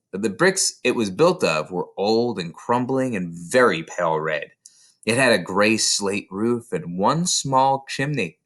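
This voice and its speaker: A man's voice: lowish voice, fairly bright tone. A man reading a children's novel aloud as scripted narration. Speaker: storybook reader.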